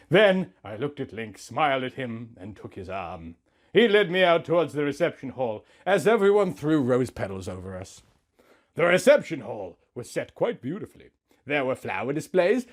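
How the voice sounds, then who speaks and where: A man in his thirties reading a story aloud in a melodramatic, mock-sentimental comic style, swinging between hushed and loud. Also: mid-range voice. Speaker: comic host reading out fan fiction.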